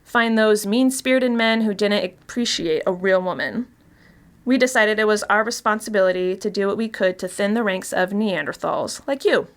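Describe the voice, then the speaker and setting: A woman's voice: medium pitch, moderate pace, natural voice. A woman actor playing a chatty, darkly gleeful killer confessing in a first-person mystery story, in a conversational, confiding way. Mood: gloating, menacing. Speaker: actor reading a short story.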